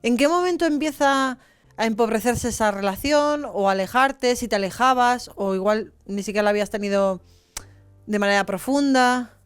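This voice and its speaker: A woman's voice: fairly high pitch, moderate pace, clean tone. A woman hosting an interview show, welcoming her guest and asking guiding questions. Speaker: show host.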